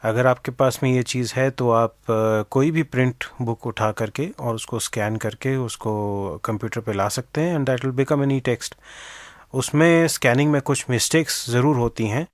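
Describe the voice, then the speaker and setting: A man's voice: mid-range voice, a little slow, dry recording. A man with an Indian accent giving methodical explanatory interview answers, mixing English phrases into his speech. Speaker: interview guest, organisation director.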